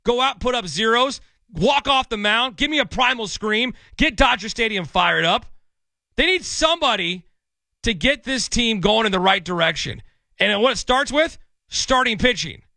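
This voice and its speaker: A man hosting a sports call-in radio show, energetic and hoarse from losing his voice. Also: very high-pitched, normal speed, no room sound. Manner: energetic, pep-talk delivery.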